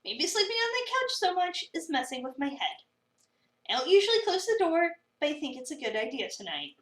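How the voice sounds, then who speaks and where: Woman playing high, animated, exasperated young cafe worker. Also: fairly quick. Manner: sarcastic, dramatic, incredulous. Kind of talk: dramatic reading of visual novel narration. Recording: roomy, slightly distant mic.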